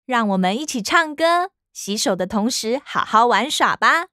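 High, sing-song mother shark. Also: lively intonation, normal speed, pushed and bright, no room sound, studio quality. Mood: gentle, playful. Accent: Taiwanese Mandarin. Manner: sing-song, coaxing, patient. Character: gentle, playful mother shark. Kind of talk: children's cartoon dubbing. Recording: children's music behind voice.

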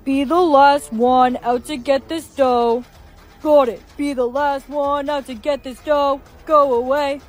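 A high-pitched woman playing a touchy young lad, easily flustered. The delivery is whiny and shouty, pushed for broad skit comedy. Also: fairly even intonation, slow, faint music behind. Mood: anxious. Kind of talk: exaggerated comedy skit acting.

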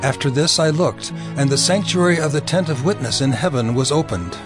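Casting: male scripture narrator, solemn, somewhat high-pitched, faint music bed. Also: animated, fairly quick.

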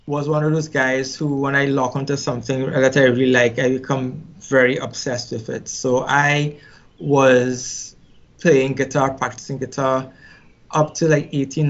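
A middle-aged man with a Trinidadian accent talks through his memories in an unhurried, reflective way, often doubling back on words as he thinks. His voice sits at an ordinary middle pitch. The recording sounds a little dull and lo-fi. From Trinidad and Tobago. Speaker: musician guest. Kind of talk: casual interview storytelling.

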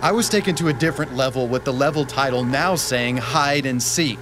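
A man with a medium-pitched voice gives a dramatic reading of an online horror story. He narrates as a guest storyteller, with faint music underneath. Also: fairly quick. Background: background music.

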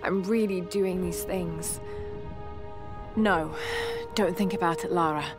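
An actress with a British accent playing a young shipwrecked archaeologist, anxious but determined, in a hesitant, introspective video game journal. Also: female voice, on the low side, slow, music in the background. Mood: anxious, shaken.

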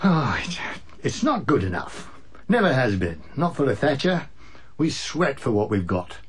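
A gruff older English husband in a radio play. His voice is husky and swings widely in pitch, weary and wry, with blunt, old-fashioned talk. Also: sing-song, unhurried. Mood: weary, fond. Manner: wry, swearing, old-fashioned upper-middle-class turns of phrase. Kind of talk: radio drama acting.

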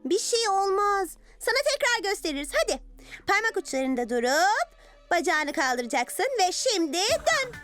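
Small cartoon heroine, sharp bright tone, animated delivery, keen to instruct. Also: female voice, very high-pitched, moderate pace, faint music behind, heavily acted. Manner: instructive, encouraging. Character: eager, bossy little superhero owl girl. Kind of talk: animated children's cartoon dubbing.

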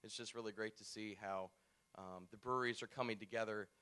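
A man's voice: on the low side, fairly even intonation, a little fast, pushed and bright, clear, resonant tone. A man on a discussion panel, speaking as a new brewery owner in an easygoing way with fillers.

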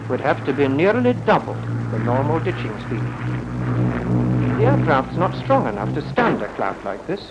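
A man's voice: medium pitch, huge pitch swings, normal speed. A man acting as a clipped, didactic RAF officer in a 1940s instructional film. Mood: assured, instructive. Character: brisk, assured RAF officer explaining procedure. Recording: noisy 1940s film soundtrack.

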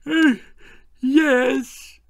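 A man's voice: very high voice, steady tone, drawn-out words, creaky, pitched above the performer's own voice, heavily acted. A man voicing a flustered, deferential storybook Prime Minister, hesitant and eager to please. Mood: sleepy.

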